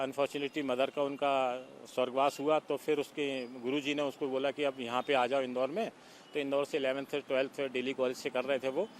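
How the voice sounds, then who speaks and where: An Indian man, a police officer, giving halting interview answers sprinkled with English words. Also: on the high side, a little hiss or hum.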